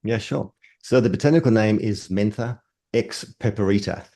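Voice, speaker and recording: An older man from Denmark, a herbalist calmly explaining remedies in an interview, defining terms as he goes. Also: lowish voice. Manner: measured, explains terms step by step.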